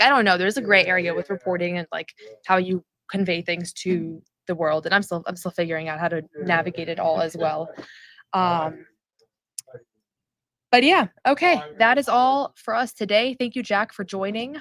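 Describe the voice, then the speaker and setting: Woman, host, casual chat, chatty, filler-heavy, mid pitch. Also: a bit bright. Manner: chatty, rambling with frequent fillers. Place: arena concourse at a summer league event.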